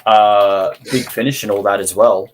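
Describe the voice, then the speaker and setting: A man co-hosting a fan podcast, chiming in casually in a halting, hesitant way. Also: lowish voice, fairly even intonation. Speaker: podcast co-host.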